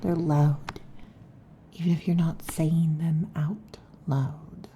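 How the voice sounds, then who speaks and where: A woman playing a restless, possessive lamia girlfriend in an intimate ASMR roleplay, with a breathy, husky half-whisper and halting, teasing delivery. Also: low voice, level tone, warm tone, a little hiss or hum. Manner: halting, teasing, predatory intensity. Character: restless, possessive female lamia monster girlfriend next door.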